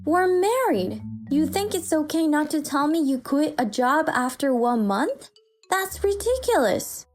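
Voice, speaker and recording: A woman's voice: sits high, big pitch swings, a little slow, faint music behind. A woman playing an indignant, fed-up working wife and mother in a manga dub, firm and articulate. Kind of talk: manga dub acting and first-person story narration.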